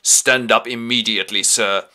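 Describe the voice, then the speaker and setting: A man's voice: medium pitch, steady tone, moderate pace, sharp tone. A man voicing a snobbish older aristocratic woman who speaks in a commanding way. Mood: imperious. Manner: imperious, commanding.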